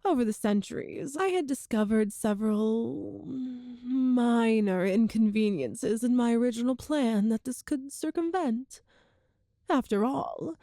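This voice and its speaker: Woman playing a slow-speaking, seductive evil empress. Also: fairly high pitch, dark tone, no echo, studio quality. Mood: cold, calculating. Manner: measured, formal diction with lingering dramatic pauses. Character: cruel all-powerful evil empress, coolly seductive and smug. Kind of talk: scripted ASMR roleplay monologue.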